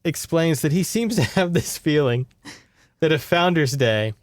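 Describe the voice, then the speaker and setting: A man's voice: on the high side, animated, measured pace, no echo, studio quality. A man with an American accent chatting casually and wryly as he recaps a book as a podcast co-host. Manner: wry, informal, sarcastic.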